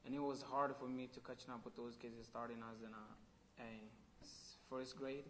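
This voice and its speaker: A native Oromo speaker from Ethiopia talks about growing up, in English with an Ethiopian accent. His voice is of middling pitch, and he halts often, searching for his next words. A faint hiss sits in the background. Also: little pitch movement, normal speed. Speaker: oral history interviewee. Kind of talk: personal oral history.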